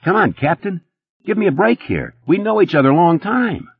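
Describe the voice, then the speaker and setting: A man doing a jittery sleazy playboy, high and pushy. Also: very animated, creaky, breathy edge, no room sound, narrow, tinny audio, full character voice. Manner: rapid, nervous, boastful, pitched high and pushy. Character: jittery fast-talking male nightclub playboy, sleazy.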